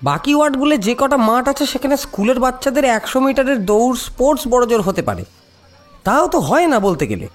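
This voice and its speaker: Audio drama: indignant local man, very high voice, animated swings, emphatic. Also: fairly quick, faint music behind, exaggerated voice. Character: indignant neighbourhood man lamenting the threatened playground. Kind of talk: Bengali audio drama acting.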